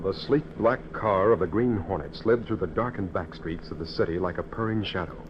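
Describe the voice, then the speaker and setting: A man's voice: low voice, fairly quick. A man announcing and narrating an old-time radio drama in an emphatic, theatrical scripted style, on a muffled, noisy recording.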